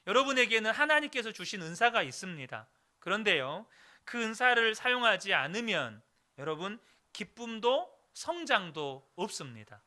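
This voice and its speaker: A man in his thirties delivers a sermon, speaking straight to the congregation in an earnest way. His voice sits high and rises and falls widely. The tone is very bright and forceful. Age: 30s. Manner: earnest, direct address to the congregation. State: recovering throat, clears throat. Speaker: pastor.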